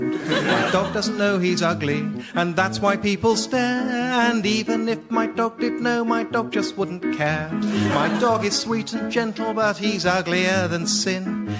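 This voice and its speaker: Comic song role: rueful man in a high register, backed by music, wry delivery. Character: rueful male dog owner singing a comic song.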